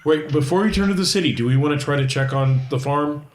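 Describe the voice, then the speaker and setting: A man's voice: on the high side, quick pace. A man playing a tabletop roleplaying game, chatting casually with a joking, wry manner. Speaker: player.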